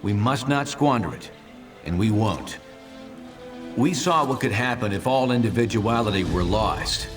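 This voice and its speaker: A man's voice: fairly low pitch, animated, a little slow. A man playing a noble, earnest heroic leader delivering a rousing speech in a video game. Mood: solemn, resolute. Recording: distant microphone, music behind.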